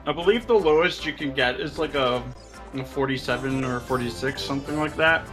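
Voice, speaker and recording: A man commentating a live game race in a casual chat, breaking down the game logic and throwing in quick jokes. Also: mid-range voice, over music, low-quality recording. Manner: jokey, analytical, quick asides.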